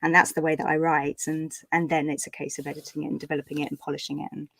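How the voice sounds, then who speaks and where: A woman's voice: sits low, level tone, rapid-fire, gravelly, fairly bright tone, no room sound, rough recording. A woman chatting casually about her writing as an author at a live online event, in a hesitant, giggly way. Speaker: author hosting online book launch. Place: live online video call.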